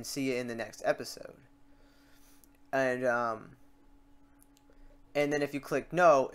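A man hosting a software tutorial, talking casually and thinking aloud as he works through steps and mistakes. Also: mid-range voice. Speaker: tutorial host.

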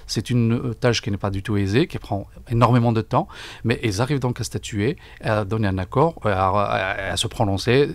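A middle-aged man giving formal, deliberate answers in a radio interview, often repeating words as he searches for the next. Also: lowish voice, vocal fry, no echo. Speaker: book fair coordinator, interview guest. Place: radio studio. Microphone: broadcast microphone on desk stand.

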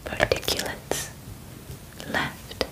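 A gentle female alien examiner, played by a young woman, speaks in a soft whisper close to the mic. She takes her time, with slow, formal, soothing phrasing and a calm clinical manner suited to an ASMR personal attention roleplay. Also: rough recording. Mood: calm, focused. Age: young adult. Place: bedroom set, indoors. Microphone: close ASMR microphone.

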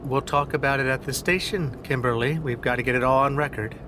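A man's voice: mid-range voice, steady tone, sharp tone, lightly acted. Man acting an insecure, self-loathing cop, noisy background. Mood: curt, evasive. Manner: halting, repeating himself. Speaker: actor in a scripted audio drama. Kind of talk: naturalistic audio drama acting.

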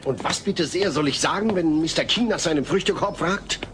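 A man's voice: on the high side, a little fast, close to an everyday voice. An actor playing a mild-mannered, long-suffering suburban father in a sitcom audio drama, dry and sarcastic. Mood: exasperated. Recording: noisy cassette tape with clicks.

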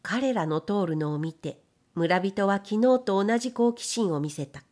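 A woman's voice: mid-range voice, lively intonation, measured pace, a little mellow. A woman reading a literary novel aloud as an audiobook narrator, in a scripted storytelling style.

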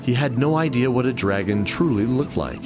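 A man's voice: mid-range voice, animated, moderate pace. A man giving scripted narration of a fantasy adventure story in an audio drama. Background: music.

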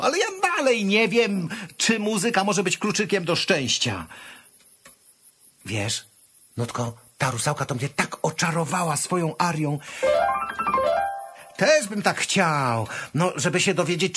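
An actor playing a curious, excitable young boy in a children's musical radio play, chatting and muttering to himself. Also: medium pitch, moderate pace, natural voice. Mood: eager, curious. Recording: music behind the voice.

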